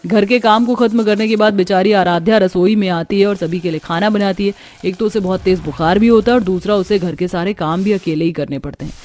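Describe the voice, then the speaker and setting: A woman's voice: medium pitch, a little fast, few pauses, a little mellow. A woman reading scripted storytelling narration for a cartoon.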